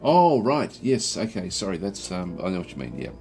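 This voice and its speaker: A man with a croaky, recovering voice giving casual, halting livestream commentary as he plays a strategy game and chats with viewers. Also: sits low, big pitch swings, darkish tone, faint music behind. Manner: halting, thinking aloud. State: croaky throat, recovering from feeling unwell. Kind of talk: casual game commentary, reading and answering chat.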